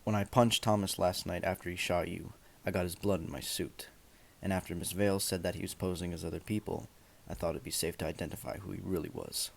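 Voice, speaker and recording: A man acting a calm, suave male vigilante in an amateur radio play. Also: low voice, brisk, creaky.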